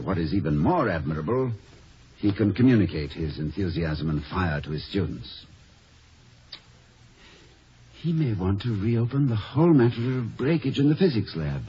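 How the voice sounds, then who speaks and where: An actor playing a genial, fretful college president in a 1950s radio comedy, hesitant and professorial in manner. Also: male voice, lowish voice, warm tone, breathy edge, exaggerated voice. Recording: dull, hissy vintage radio recording.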